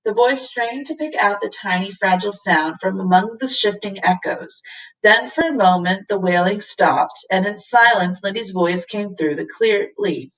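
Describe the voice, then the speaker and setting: A woman reads a children's story aloud as a teacher would, with expressive narration. Her medium-pitched voice sits a little back from the microphone. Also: moderate pace, far from the mic, rough recording. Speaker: teacher reading to a class.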